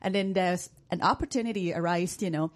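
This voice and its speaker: A woman's voice: fairly low pitch, a little fast. Thai lady chef on the radio, friendly, says you know a lot. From Thailand. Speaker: chef and food vendor. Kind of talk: radio interview guest.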